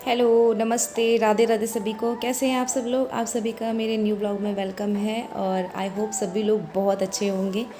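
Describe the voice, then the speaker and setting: A woman with a hoarse voice, chatting through her home chores as a vlog voice-over and explaining each step. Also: mid-range voice, flat delivery, moderate pace, few pauses, over music. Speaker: vlogger.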